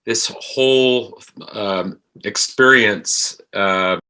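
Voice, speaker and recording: A man speaking as an interview guest, reflective and halting as he searches for his words. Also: fairly low pitch, sharp tone, smooth, low-quality recording. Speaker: podcast guest, actor.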